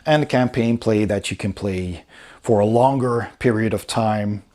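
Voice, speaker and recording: A man's voice: on the low side, a little mellow. A man giving a casual, unscripted review commentary, explaining things step by step as he goes through a rulebook. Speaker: host of a board game review channel.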